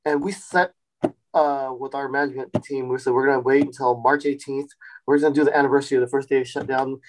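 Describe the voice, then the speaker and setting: A man in his forties, a chef and restaurant founder, telling his story in a relaxed podcast interview with many fillers and restarts. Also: mid-range voice, quick pace, low-quality recording. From Sacramento, California. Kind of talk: casual interview.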